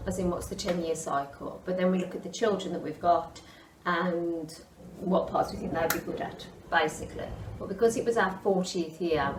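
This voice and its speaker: A woman, an amateur pantomime director, explaining casually in an interview. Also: on the low side. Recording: echoey, distant mic, clear background noise.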